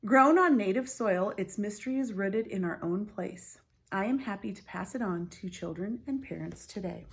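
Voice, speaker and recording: A woman reading a story aloud like a teacher, expressive and clearly phrased, with informal asides. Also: on the low side.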